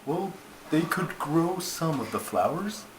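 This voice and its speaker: A man's voice: fairly high pitch, sing-song, slow. A man voicing a pompous, schoolmasterly turtle puppet who tells stories in a ponderous, formal, easily put-out way. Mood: measured storytelling. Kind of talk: comic scripted storytelling. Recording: noisy amateur home recording.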